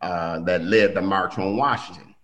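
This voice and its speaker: A middle-aged man recounting family history in relaxed conversation. His voice sits low. He livens up and jumps in pitch whenever he acts out what someone said. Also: normal speed. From Milwaukee. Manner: animated storyteller, acts out quotes with big pitch jumps. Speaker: guest telling family stories. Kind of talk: casual storytelling conversation.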